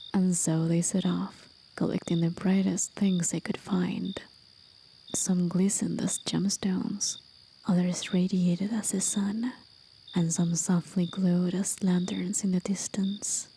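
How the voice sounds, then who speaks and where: A woman voicing a gentle, wistful demon guide in a soft, breathy, whispered ASMR roleplay, telling an old tale in a measured, hushed way. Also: lowish voice, slight background noise. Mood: calm storytelling. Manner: slow, hushed, measured storyteller. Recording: faint nighttime cricket and insect ambience. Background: crickets and night insects.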